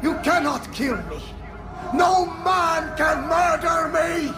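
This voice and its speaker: An actor playing a raging, power-mad tyrant in a video game, declaiming grandly. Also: male voice, extremely high pitch, steady tone, slow pace, shouting, music in the background, crowd noise. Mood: enraged, defiant. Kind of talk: dramatic video game acting.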